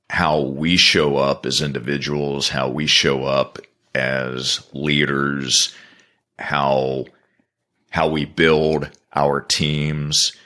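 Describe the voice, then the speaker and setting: Deep, slow-spoken earnest man. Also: sharp tone. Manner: earnest, measured, builds points in repeated phrases. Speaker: business consultant, guest. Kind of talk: podcast interview answers.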